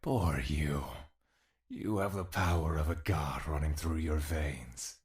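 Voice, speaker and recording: A very low, deep-voiced spirit that needles and mocks the man it is trapped inside, as an actor plays it. Its tone stays cold and sarcastic in this audio drama, with a slow creeping scorn behind each jab. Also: darkish tone. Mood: taunting.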